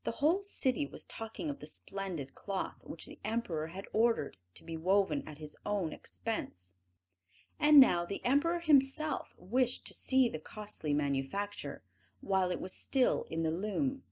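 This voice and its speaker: A woman reading a classic fairy tale aloud as an audiobook narrator, in an expressive storytelling manner. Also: mid-range voice, normal speed, breathy edge. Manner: expressive, lively storytelling read.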